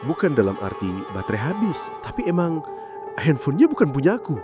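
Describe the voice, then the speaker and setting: A man narrating a horror story in the first person, suspenseful and theatrical, pausing between short phrases. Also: on the high side, sing-song. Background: background music.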